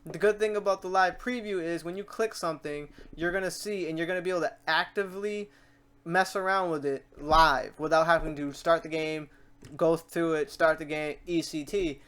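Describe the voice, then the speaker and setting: A man hosting a casual software tutorial, talking off the cuff in an informal, chatty, rambling way and often repeating himself. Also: fairly high pitch, fairly even intonation, normal speed, smooth. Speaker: host of a software tutorial series. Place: voice-over to a screen recording.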